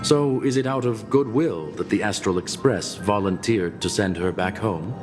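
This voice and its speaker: An actor playing a detached, intellectual non-human scholar in a video game, speaking in a measured, formal way. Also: male voice, lowish voice, over loud music, lightly acted. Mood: curious, formal. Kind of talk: scripted video game voice acting.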